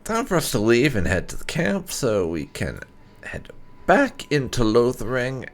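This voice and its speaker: A man's voice: medium pitch, wildly swooping pitch, unhurried. A man giving casual commentary on his roleplay playthrough of a video game. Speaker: gamer narrating a roleplay playthrough.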